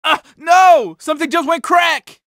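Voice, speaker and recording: A man's voice: very high voice, a little slow, a bit bright, dry recording, clean studio sound. A man voicing a vain, cocky young street-racer car in a video game, talking in boastful slang with lots of exclamations. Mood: indignant, startled. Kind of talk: video game character barks.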